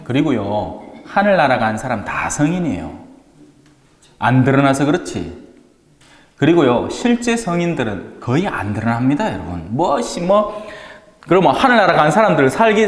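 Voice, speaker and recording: Animated Gyeongsang-accented priest in an echoey hall. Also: male voice, a little high. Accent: Gyeongsang Korean. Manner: jocular, colloquial, rhetorical questions to listeners. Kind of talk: informal religious lecture to a small group. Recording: echoey hall with long reverb.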